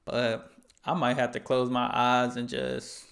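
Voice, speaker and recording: A man's voice: medium pitch, monotone, a little slow, vocal fry, fairly bright tone. A young American man in casual reaction commentary, laid-back and slangy, talking into a headset at home. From United States. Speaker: reaction channel host.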